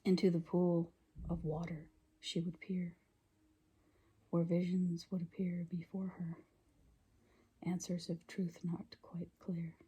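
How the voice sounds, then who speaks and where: A woman reading poetry in a gentle, deliberate, breathy ASMR whisper. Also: on the low side, flat delivery, rough recording. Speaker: poetry reader.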